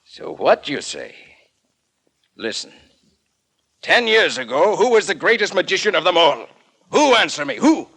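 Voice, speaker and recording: Creaky, bitter old magician. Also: male voice, high voice, big pitch swings, measured pace, long pauses, fairly bright tone, exaggerated voice. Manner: emphatic, indignant, stilted foreign-sounding phrasing. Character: bitter, proud old male magician turned trick builder. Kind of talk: 1940s radio acting.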